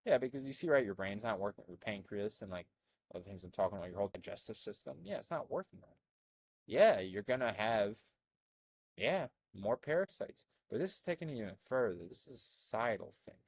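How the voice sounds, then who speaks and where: Husky, rambling young man from Albania. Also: lowish voice, fairly quick, no room sound. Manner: rambling, animated, many fillers and restarts. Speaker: health coach. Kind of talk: casual commentary reading from a screen.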